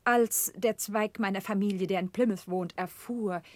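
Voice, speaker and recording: An actress playing a genteel, talkative wife, proud of family and husband, confiding effusively in a German radio play. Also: female voice, mid-range voice, fairly even intonation, normal speed, a little mellow, dry recording, close to an everyday voice.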